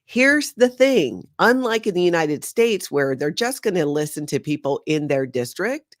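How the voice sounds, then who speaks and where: A woman's voice: on the low side, very animated, a little slow, dry recording. A middle-aged woman from Hawaii hosting a casual live stream from her home studio, bubbly and chatty, often rambling off topic. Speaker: host.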